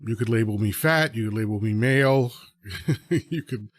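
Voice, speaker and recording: A man's voice: fairly low pitch, normal speed, no echo. A man of fifty-nine from the Dominican Republic, a podcast host musing conversationally in a reflective solo talk, often checking in with "right?".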